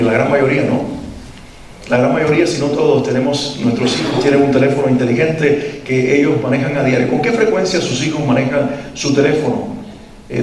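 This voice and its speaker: A man's voice: mid-range voice, darkish tone, slight background noise. Cuban man speaking in an echoey hall. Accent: Cuban Spanish. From Cuba. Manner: earnest, reflective, asks audience questions. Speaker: parenting workshop speaker. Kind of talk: talk to a live parent audience. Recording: echoey hall, mic at a distance.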